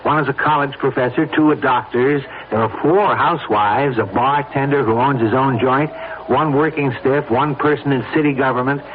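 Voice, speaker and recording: An actor playing a smooth-talking, insistent man who plots and persuades in a radio drama. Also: medium pitch, steady tone, normal speed, close to an everyday voice. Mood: eagerly persuasive. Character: scheming, persuasive man plotting a staged fatal accident. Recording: lo-fi phone-like radio broadcast, background noise.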